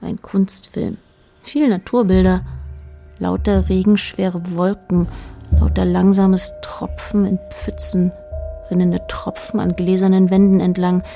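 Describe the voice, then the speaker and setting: A woman's voice: lowish voice, flat delivery, a little slow, gravelly, dark tone, faint music behind. A woman narrating a literary radio play as a detached, observant storyteller, in short plain sentences.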